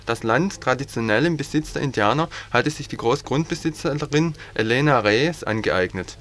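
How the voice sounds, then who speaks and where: A man reading a news item aloud in an earnest, steady way on a 1980s community radio broadcast. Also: mid-range voice, normal speed, a little hiss or hum. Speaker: news reader. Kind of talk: read news bulletin.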